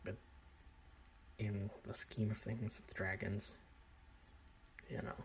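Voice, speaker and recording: A man speaking in a breathy whisper, presenting his hobby in a casual, rambling ASMR style. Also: little pitch movement, slow. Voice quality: breathy whisper with soft low speech. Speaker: hobbyist miniature painter presenting his collection. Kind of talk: whispered ASMR show-and-tell.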